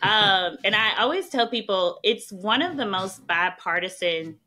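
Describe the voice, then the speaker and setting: A woman's voice: medium pitch, lively intonation, unhurried, bright, forceful tone, rough recording. A woman from Mississippi with a Southern accent, a podcast guest telling her story in a chatty way, with frequent "um" and "right?". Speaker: podcast guest, policy expert. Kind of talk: conversational interview.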